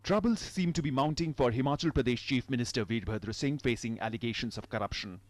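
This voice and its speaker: A man's voice: mid-range voice, fast, dry recording. A man with an Indian accent narrating a scripted news report as a reporter.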